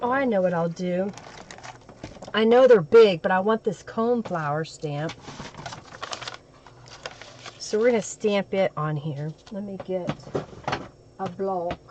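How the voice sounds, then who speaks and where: A woman with a crackly, hoarse voice from a cold, hosting a crafting live stream and chatting with viewers as she works. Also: mid-range voice, takes it slowly, long pauses, lo-fi sound.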